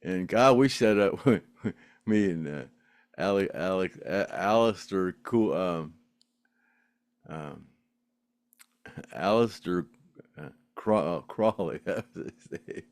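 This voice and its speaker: Deep slow-talking older man. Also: expressive, no echo, clean studio sound. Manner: rambling, laughs often, many fillers and restarts. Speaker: podcast host reading live chat. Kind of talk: casual chat.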